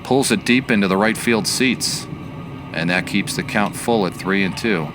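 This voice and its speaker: A male baseball announcer with a fairly low voice calls the game in a measured, analytical way. His play-by-play sits over a steady bed of outdoor ambient noise. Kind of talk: sports play-by-play commentary.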